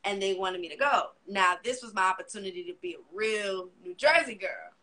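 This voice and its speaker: A woman's voice: mid-range voice, fairly quick. A young woman with a New York accent, Spanish as her first language, chatting animatedly as a guest and acting out quotes. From Miami, Florida. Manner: animated, swearing, acts out quotes. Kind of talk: casual interview chat.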